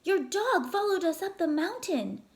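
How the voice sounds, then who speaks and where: A woman's voice: very high voice, very animated, measured pace, pitched above the performer's own voice, full character voice. A woman voicing an anxious female mountain climber in a children's storybook, urgent and apologetic. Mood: alarmed. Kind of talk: children's storybook read-aloud.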